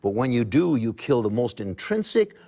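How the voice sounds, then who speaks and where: Lively older man with animated pitch. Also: normal speed. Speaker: guest juicing advocate. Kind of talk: infomercial talk-show explanation.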